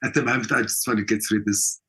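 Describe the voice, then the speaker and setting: An older man with a South African accent, telling anecdotes reflectively as an interview guest and often voicing imagined dialogue in an expressive way. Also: on the low side, fast, husky. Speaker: interview guest, actor and singer.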